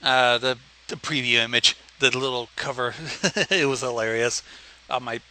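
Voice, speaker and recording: A man chats as co-host with a medium-pitched, strikingly bright and forceful voice. He speaks casually, in short joking asides about a story. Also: steady tone, normal speed, rough recording.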